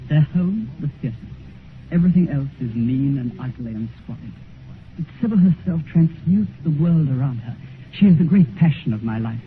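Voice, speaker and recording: An actor playing a passionate, impressionable and petulant young gentleman with an upper-class English accent, earnest, in a 1950s radio drama. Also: on the high side, expressive, normal speed, natural voice. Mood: ardent. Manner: earnest, ardent. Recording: tinny, hissy 1950s radio recording.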